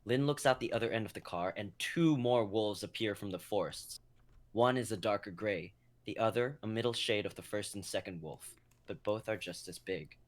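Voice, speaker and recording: A man's voice: lowish voice, normal speed. A young man narrating a scripted drama in the present tense as the reader of stage action. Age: young adult.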